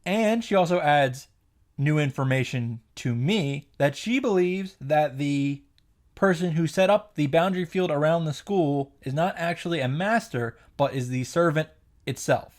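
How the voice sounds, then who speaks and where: A man's voice: a little high, measured pace. A man giving a casual, opinionated story recap and commentary, with emphatic, sarcastic asides. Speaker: video creator recapping a visual novel. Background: background music.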